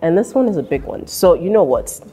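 A woman in her twenties with an American accent, giving sassy, animated advice straight to camera in an indoor room. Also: fairly quick, breathy edge. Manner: sassy and animated, mimics voices in high squeals. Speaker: vlogger. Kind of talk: direct-to-camera advice vlog. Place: indoor room with painting behind.

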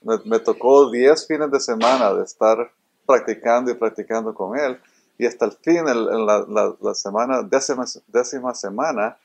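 A man's voice: medium pitch, moderate pace, vocal fry, breathy edge. A middle-aged man from Honduras, a robotics engineer, answering interview questions earnestly and often repeating words as he looks for them, seated indoors among robots. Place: indoor room full of robots.

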